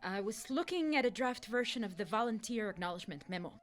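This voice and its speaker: A woman's voice: a little high, expressive, quick pace, a little hiss or hum, natural voice. A woman playing an eager, bungling Chief of Staff in a comedic radio play, flustered yet overconfident. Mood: sheepish, flustered.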